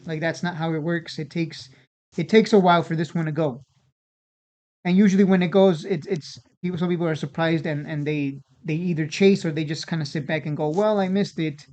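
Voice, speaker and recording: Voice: mid-range voice, darkish tone. A stock video host giving casual, unscripted market commentary in an informal way, often repeating and restarting phrases.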